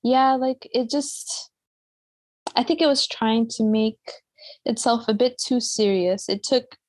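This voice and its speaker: A woman's voice: on the high side, takes it slowly, darkish tone, no echo. A woman chatting casually and opinionatedly about books as a podcast guest, often slipping in conversational asides. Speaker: book club podcast guest. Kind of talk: casual book discussion.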